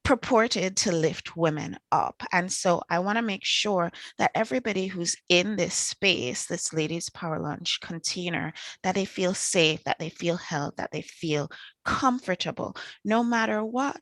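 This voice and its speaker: A woman's voice: lowish voice, unhurried, a bit bright, dry recording. A woman with a Trinidadian accent hosting an online networking call in an effusive, enthusiastic way. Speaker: host. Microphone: video call.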